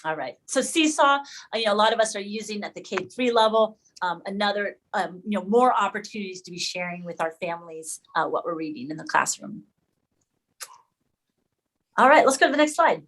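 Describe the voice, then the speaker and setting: A woman's voice: mid-range voice, animated, fairly quick, fairly bright tone. Woman leading an informal webinar, thinking aloud. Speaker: educator leading a webinar discussion. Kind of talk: informal presentation to colleagues. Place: online video call.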